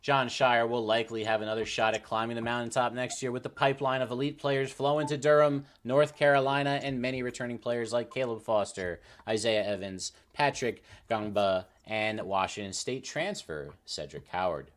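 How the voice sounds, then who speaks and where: A man with a medium-pitched voice speaks as a sports show panelist. He delivers commentary on games and players in the manner of a sports talk program. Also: normal speed, few pauses.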